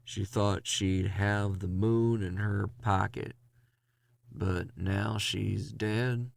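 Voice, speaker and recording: Man acting a gravelly, brooding ghost hunter. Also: lowish voice, fairly even intonation, very slow, dry recording, exaggerated voice. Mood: weary, brooding. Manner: brooding, profane, confessional. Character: weary, hard-boiled, bitter male ghost hunter. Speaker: narrator and lead character. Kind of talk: first-person noir horror audio drama monologue.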